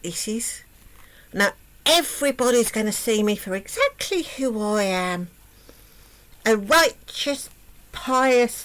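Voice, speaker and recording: A woman voicing a brash, foul-mouthed young princess who is secretly a troll, shouting and swearing. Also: fairly high pitch, voice pushed up, heavily acted. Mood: gloating. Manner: loud, crude, boastful, swearing.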